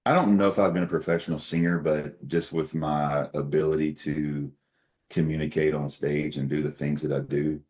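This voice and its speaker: Very deep-voiced man, folksy storyteller. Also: steady tone, moderate pace, a little mellow. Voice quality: very deep bass voice. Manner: folksy, candid storytelling with self-deprecating humor. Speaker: CEO guest. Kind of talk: casual interview conversation.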